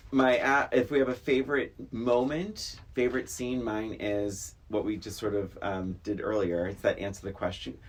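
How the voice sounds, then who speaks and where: A middle-aged woman in casual film talk, animated and a little theatrical when she quotes lines. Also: rumbling low, moderate pace, hardly any pauses.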